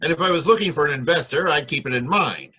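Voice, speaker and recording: An actor playing a wry, cynical private detective in 1940s radio noir style, with a husky voice and a sardonic manner. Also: male voice, a little high, fast talker, pushed and bright, exaggerated voice, low-quality recording. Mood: cool, wry. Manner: sardonic, wisecracking. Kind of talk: 1940s radio noir acting, narration and dialogue.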